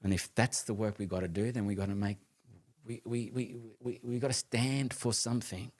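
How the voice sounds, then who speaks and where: A man in his late fifties co-hosting a casual conversation, talking in an animated, emphatic way with frequent restarts and the odd casual swear word. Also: on the low side, normal speed. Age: 50s. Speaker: co-host of a live conversation show.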